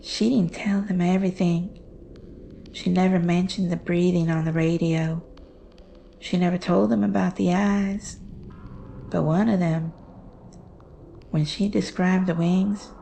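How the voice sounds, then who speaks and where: A woman playing a haunted, fearful witness, telling a horror story in a hushed, halting, deliberate way. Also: lowish voice, fairly even intonation, vocal fry, a little hiss or hum. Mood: haunted, uneasy. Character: haunted, fearful woman recounting her mother's terrifying secret. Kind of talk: slow dramatic horror storytelling.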